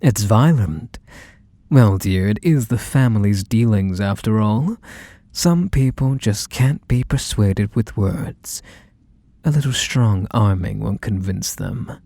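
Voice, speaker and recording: Low, husky, unhurried mafia boss for ASMR roleplay, male actor: manipulative, obsessive sweetheart talk with quiet menace, close and dry. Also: no room sound, heavily acted. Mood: nostalgic, smug. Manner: coaxing, drawn-out endearments, softly menacing. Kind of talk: ASMR roleplay, intimate monologue to the listener.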